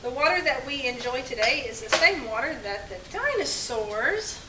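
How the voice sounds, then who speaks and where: High-voiced woman presenting a puppet show. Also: lively intonation, moderate pace. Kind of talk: scripted presentation to children. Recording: echoey, distant, noisy.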